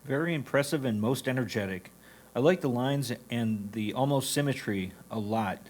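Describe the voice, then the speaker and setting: A man with a medium-pitched voice reads comments on photographs aloud. He delivers them as a reader for a club competition. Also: moderate pace. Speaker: reader of judges' critiques. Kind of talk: reading written photo critiques aloud.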